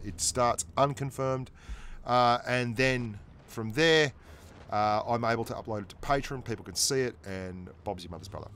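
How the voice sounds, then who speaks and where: A man's voice: medium pitch, fairly bright tone, a little hiss or hum. Chatty Australian man commentating a game. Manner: chatty, joking, self-deprecating. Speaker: gaming channel host. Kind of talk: casual gameplay commentary.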